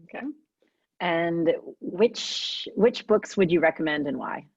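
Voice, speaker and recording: Guest host, woman, husky, brisk and friendly, lo-fi dry call audio. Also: on the low side, animated, dry recording. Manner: friendly and brisk, with light laughs. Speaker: guest host, writer and editor. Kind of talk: casual interview questions.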